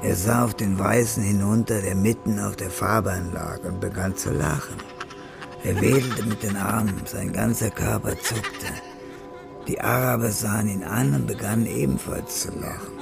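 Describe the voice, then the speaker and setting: A man's voice: lowish voice, fairly even intonation, normal speed, gravelly. A man delivering scripted narration for a hardboiled German radio crime drama. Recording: loud music behind narration.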